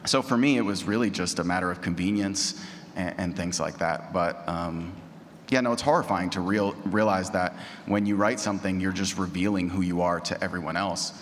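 A man with a very low voice talks candidly and without a script, poking fun at himself as a panelist. His voice rings in a reverberant hall with faint noise behind it. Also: moderate pace. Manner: candid, self-deprecating. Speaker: panelist, audio drama writer and actor. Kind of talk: candid unscripted panel talk. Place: large panel room at a convention. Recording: echoey hall, noisy, roomy pickup.

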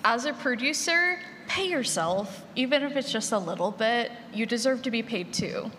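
A woman's voice: a little high, expressive, normal speed, a bit bright. A woman on a panel giving direct, plain-spoken advice in a reverberant room. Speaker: panelist, podcast producer. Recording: echoey noisy hall with room ambience.

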